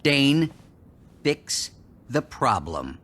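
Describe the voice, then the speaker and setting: A man's voice: mid-range voice, sing-song, very slow, a bit bright, exaggerated voice. A man playing a stern corporate board member in a video game, formal and reproving. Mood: stern, displeased. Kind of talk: video game cutscene acting.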